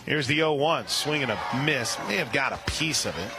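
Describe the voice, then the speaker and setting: A man calling a softball game live on radio, steady between pitches and reading listener shout-outs. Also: normal speed, noisy background. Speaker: radio play-by-play announcer. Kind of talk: live radio sports commentary.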